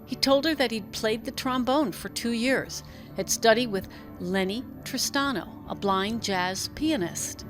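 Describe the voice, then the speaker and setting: A woman's voice: on the high side, measured pace, over music. A woman reading a literary story aloud as an audiobook narrator, in scripted narration.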